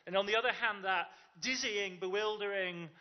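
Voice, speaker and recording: A man's voice: sits high, moderate pace. A man giving a conference talk in a chatty, self-deprecating way, with frequent restarts. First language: English. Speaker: conference speaker. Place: conference in Germany. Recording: dull lo-fi sound.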